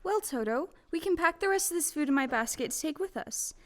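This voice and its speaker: Earnest little farm girl, played by a woman, very high voice, polite, wide-eyed, stage audio play. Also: animated, fairly quick. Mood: matter-of-fact.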